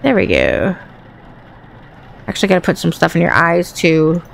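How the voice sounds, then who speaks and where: A woman with a Southern accent and a raspy voice chats to the ghosts while playing a horror game. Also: on the low side, wildly swooping pitch, normal speed, a little hiss or hum. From Texas. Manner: talks to the game and its ghosts, jumpy and chatty. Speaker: gaming channel host. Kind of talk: horror game let's play commentary.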